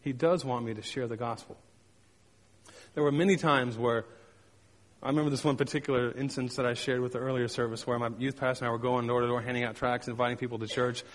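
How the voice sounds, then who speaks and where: A man's voice: medium pitch, steady tone, fast talker, in a large hall. A man delivering a sermon, telling personal stories with emphatic repetition and playful sound effects. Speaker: pastor.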